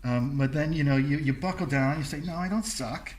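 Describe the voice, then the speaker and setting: Casual male podcast host, slightly high voice. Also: a little fast, a little mellow. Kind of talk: casual chat.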